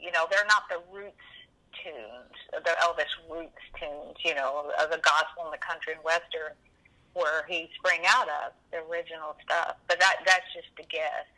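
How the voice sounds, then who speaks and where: A woman in her seventies reminiscing as an interview guest, animated and emphatic, often rambling and repeating herself. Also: sits low, moderate pace, poor audio. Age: 70s.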